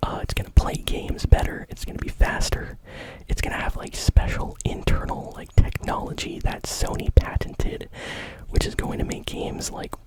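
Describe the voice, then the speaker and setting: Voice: normal speed, rough recording. Whispering ASMR gaming news host. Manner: rambling, informal, hedging.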